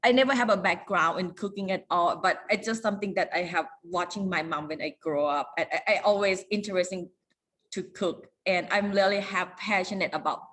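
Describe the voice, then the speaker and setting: This woman from Thailand speaks English with a Thai accent, searching for words and padding with fillers. Her voice sits slightly low, and the sound is that of a video call. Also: a bit bright. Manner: halting, non-native phrasing with fillers. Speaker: chef and restaurant owner. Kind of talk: panel self-introduction.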